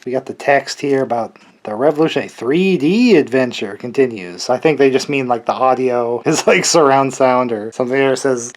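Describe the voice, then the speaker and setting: A man's voice: medium pitch, brisk, hardly any pauses. A man around his thirties or forties giving casual, chatty commentary as he hosts a collector's unboxing video, with wry, joking asides.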